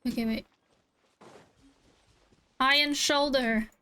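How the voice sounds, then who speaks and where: A woman's voice: sits high, steady tone, slow pace, noisy background. A woman streamer reacting playfully to a video game and reading on-screen text aloud.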